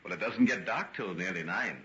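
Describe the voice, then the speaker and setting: An actor playing a genteel, courteous doctor with a soothing, guarded manner in a vintage radio drama. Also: male voice, deep voice. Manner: polite, measured, gently reassuring. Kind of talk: golden age radio drama acting. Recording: dull, noisy vintage radio recording.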